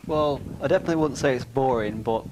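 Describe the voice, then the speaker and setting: A man's voice: medium pitch, quick pace. A man answering a question about church thoughtfully, with many "you know" fillers. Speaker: churchgoer guest. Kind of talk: reflective casual answer. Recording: noisy background.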